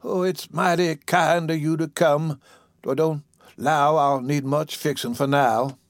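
A man acts out a sickly old woman in a storybook tale. The voice is weak, plaintive and raised in pitch, recorded close and dry. She speaks in folksy Southern dialect with pious resignation. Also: steady tone, unhurried, no echo. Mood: feebly grateful.

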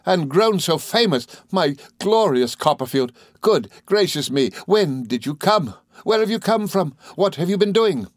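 A man voicing an exuberant, gushing, good-natured young gentleman in an audiobook, full of excited exclamations and repetitions. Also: high-pitched, measured pace, dry recording, clean studio sound, pitched above the performer's own voice. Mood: delighted, excited. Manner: effusive, exclaiming, repeats himself excitedly. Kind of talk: audiobook character voice.

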